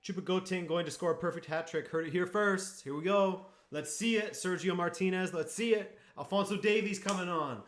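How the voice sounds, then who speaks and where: A man hosts a live football watchalong from home, talking straight to his viewers in an easy, chatty way. His voice sits a little high, and he reacts to match moments as he goes. The sound carries a noticeable room echo. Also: brisk, few pauses. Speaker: streamer hosting a football watchalong. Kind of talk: casual live-stream chat and match reactions. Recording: echoey room.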